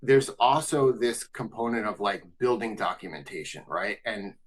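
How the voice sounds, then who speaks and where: A man's voice: fairly low pitch, animated, moderate pace. A man hosting a business webinar, asking long, loose questions full of fillers. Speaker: webinar host.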